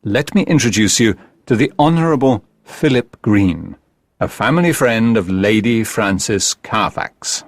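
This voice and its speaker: A man voicing a brisk, sardonic detective with a curt, superior manner. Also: fairly low pitch, animated, no room sound, studio quality. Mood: brisk, composed.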